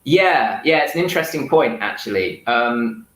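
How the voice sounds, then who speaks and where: Guy, laid-back, explaining stuff, bright tone, roomy echo. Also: medium pitch, moderate pace, a little hiss or hum. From England. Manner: relaxed, explanatory, gives advice. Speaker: guest. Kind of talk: casual interview chat.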